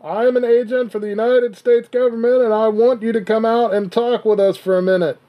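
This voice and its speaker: A man voicing a loud, official government agent calling out orders in a radio drama. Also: very high voice, fairly even intonation, a little fast, dull audio, voice pushed up. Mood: commanding.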